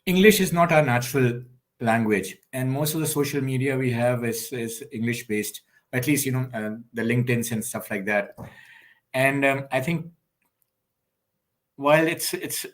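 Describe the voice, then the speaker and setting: A man from India speaking as a guest on an online panel, giving advice in an emphatic, animated way. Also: medium pitch, normal speed. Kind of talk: panel discussion.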